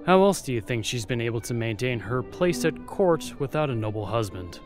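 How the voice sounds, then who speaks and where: A man's voice: mid-range voice, big pitch swings, music in the background, lightly acted. A man playing a jaded, defiant young spy at a royal court in an audio roleplay, sardonic and guarded. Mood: wry, guarded. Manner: sardonic, bitter, guarded, with pauses.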